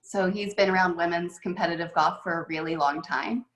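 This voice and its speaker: A woman's voice: fairly low pitch, steady tone, a little fast, fairly bright tone. A woman head golf coach telling stories in a casual, chatty way with many fillers, over a video call. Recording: echoey room on a video call.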